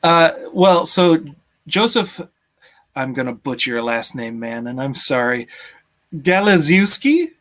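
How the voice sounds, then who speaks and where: A man's voice: a little high, sing-song, measured pace, fairly bright tone. A man in his thirties hosting a casual live stream from home in a jovial, chatty way. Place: small room at home, video call.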